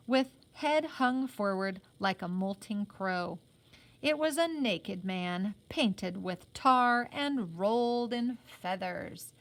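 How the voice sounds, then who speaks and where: A woman's voice: medium pitch, a bit bright. A woman reading a children's novel aloud as a teacher, expressively and with simple explanations.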